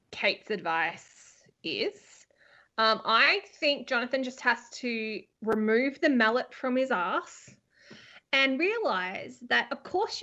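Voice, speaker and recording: Woman co-host, higher voice, blunt and earnest, muffled lo-fi sound. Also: fairly bright tone. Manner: blunt, earnest advice with a joking edge. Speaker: podcast co-host. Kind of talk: casual chat. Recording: slightly dull lo-fi sound.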